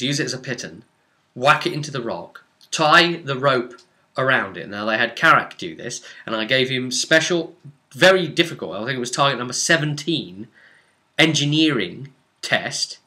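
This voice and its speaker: A British man with a very bright, forceful voice recaps a role-playing game as the game master. He tells it informally and dramatically, breaking into exclamations, asides and rhetorical questions. His pitch sits in an ordinary middle range for a man. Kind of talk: animated informal storytelling to camera.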